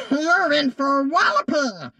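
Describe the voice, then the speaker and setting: Casting: a giddy puppet boy, high and wildly swooping, noisy clip. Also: huge pitch swings, slow pace, heavily acted. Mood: gleeful. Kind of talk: game voice line.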